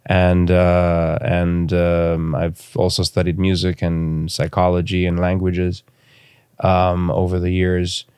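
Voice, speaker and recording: Deep smooth voiced guy from romania, native romanian speaker, thoughtful podcast guest, halting, close dry sound. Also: completely flat delivery, a little mellow, dry recording. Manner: thoughtful, halting, pauses to choose words. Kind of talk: reflective interview conversation.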